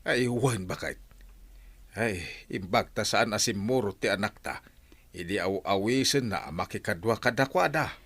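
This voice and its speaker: Low-voiced gossiping village husband played by a man. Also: a little slow, a bit bright. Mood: concerned, gossipy. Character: concerned village husband and father sharing news. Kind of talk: Ilocano radio drama acting.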